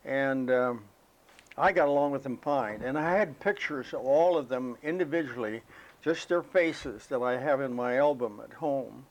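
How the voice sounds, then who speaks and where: An elderly American man recalling his life as a veteran in an interview, speaking in a halting, reflective, unhurried way. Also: mid-range voice, muffled recording. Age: elderly, 80s or older. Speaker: interviewee, war veteran recalling military service. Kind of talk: oral history interview, personal recollection.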